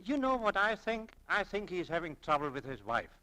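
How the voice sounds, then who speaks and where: A man's voice: high voice, sing-song, a little fast, bright, forceful tone, full character voice. A man playing a friendly, nervous family-man store clerk in a 1940s radio drama. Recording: faintly noisy old 1940s radio recording.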